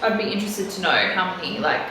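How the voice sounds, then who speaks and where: A woman's voice: fairly low pitch, fast talker, noisy background. A young woman co-hosting a casual podcast, rambling and swearing casually as she chats. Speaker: podcast co-host. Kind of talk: casual chat. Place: living room. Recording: echoey room, mic at a distance.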